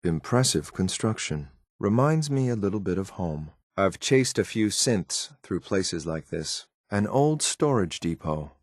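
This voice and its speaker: An actor playing a grim, cold synthetic soldier in a video game, speaking in a clipped, deadpan, disdainful way. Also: male voice, sits low, measured pace, few pauses, no echo, studio quality, full character voice. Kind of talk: scripted video game companion lines.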